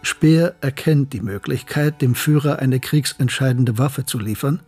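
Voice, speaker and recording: Husky, sober history narrator. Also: male voice, mid-range voice, a little mellow, soft background music. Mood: measured, factual. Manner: measured, factual. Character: sober male documentary narrator recounting wartime history. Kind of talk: scripted narration in a radio play.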